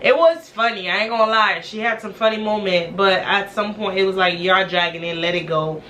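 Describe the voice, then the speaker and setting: Chatty vlogger woman, bright edgy voice, blunt and slangy; slight background noise. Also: mid-range voice, fairly quick, poor audio. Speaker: recap vlogger. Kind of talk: casual show recap.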